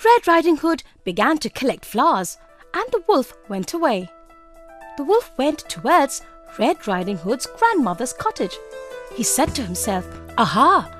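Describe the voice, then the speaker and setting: A woman's voice: high-pitched, sing-song, normal speed. A woman narrating scripted fairy tales for young children as a storyteller. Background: music.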